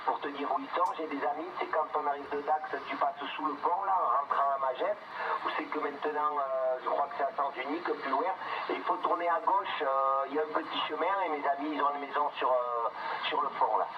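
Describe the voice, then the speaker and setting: A man's voice: on the high side, steady tone, fairly quick. A man chatting in a hesitant, easygoing way over two-way radio. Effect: two-way radio transmission. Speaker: amateur radio operator. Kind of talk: casual CB radio chat. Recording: dull distant CB radio, clear static noise.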